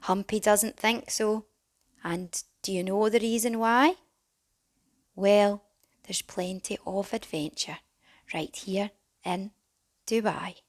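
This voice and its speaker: A woman reading a rhyming children's storybook as a teacher would, dramatic and animated, with some hushed phrases. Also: medium pitch, takes it slowly, long pauses. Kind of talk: expressive children's storybook narration in rhyme.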